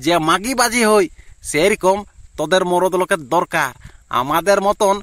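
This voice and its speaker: A man acts out a grumbling village husband in a homemade cartoon. His voice is very high and bright, recorded dry. He complains and scolds with a Purulia Bengali accent. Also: normal speed, no room sound. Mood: bitter, scolding. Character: put-upon, grumbling village husband, a vegetable seller. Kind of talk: amateur cartoon dialogue.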